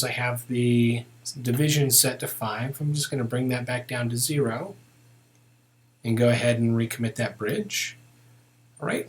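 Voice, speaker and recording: Man narrating a casual step-by-step tutorial. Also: medium pitch. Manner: casual, thinking aloud. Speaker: software tutorial instructor.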